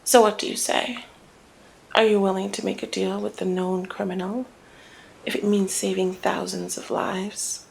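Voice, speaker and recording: A woman playing a flirty, cunning female mob boss in an intimate ASMR roleplay, speaking in a teasing, deliberate murmur that often slips toward a whisper. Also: medium pitch, flat delivery, lightly acted, low-quality recording. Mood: persuasive, earnest. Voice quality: breathy creaky murmur, often dropping to whisper. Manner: teasing, deliberate, drawn-out phrasing.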